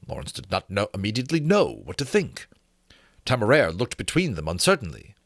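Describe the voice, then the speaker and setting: A man reading a novel aloud as narrator, in a theatrical, expressive storytelling style. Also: fairly low pitch, brisk, dry recording, clean studio sound. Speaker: narrator, book reader. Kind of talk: dramatic storytelling, scripted narration.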